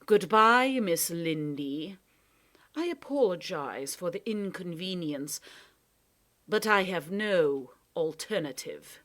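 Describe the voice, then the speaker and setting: A woman's voice: fairly low pitch, animated, takes it slowly, fairly bright tone. A woman voicing a scheming male creature who speaks casually and with mock politeness. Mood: smug. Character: smooth, scheming male creature, cheerfully menacing.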